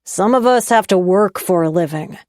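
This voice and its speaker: A woman voicing a young man, an angry and jealous boyfriend, who talks in raised, sharp and scornful lines. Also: medium pitch, measured pace, no room sound, studio quality, close to an everyday voice.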